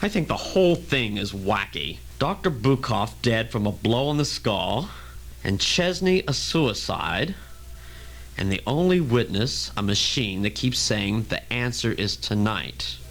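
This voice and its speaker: A man as a gravelly, jaded investigator. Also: lowish voice, lively intonation, unhurried, a bit bright, full character voice. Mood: wry. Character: world-weary, sceptical male investigator, brusque and casual. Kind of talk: old-fashioned radio drama.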